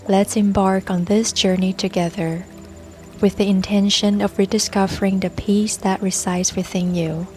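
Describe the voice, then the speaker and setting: A woman leading a scripted guided meditation in a gentle, soothing, unhurried manner. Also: mid-range voice, flat delivery. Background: soft music and babbling brook sounds.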